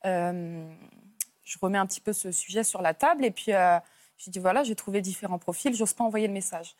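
A woman's voice: medium pitch, brisk, a little mellow, no echo. A young adult woman, casually telling a personal story as a talk show guest. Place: TV studio.

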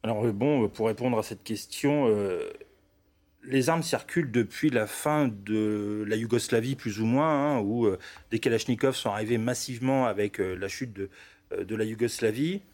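A man's voice: on the low side, moderate pace, a little mellow. A man from northern France, a police union delegate, answering viewer questions in a studio debate with many fillers and restarts.

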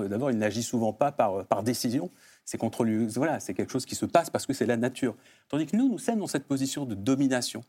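A man's voice: mid-range voice, darkish tone, husky. A man debating off the cuff, earnest and emphatic, building his argument by repeating phrases. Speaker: panel debater. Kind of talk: unscripted intellectual debate.

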